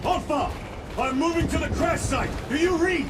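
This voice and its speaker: An actor playing a grim, battle-weary space marine squad leader in a video game, solemn and commanding, vowing vengeance. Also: male voice, extremely high pitch, animated. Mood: urgent, commanding. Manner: solemn, commanding, deliberate delivery. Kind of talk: dramatic video game voice acting. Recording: loud music and machinery behind voice. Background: loud score, machinery.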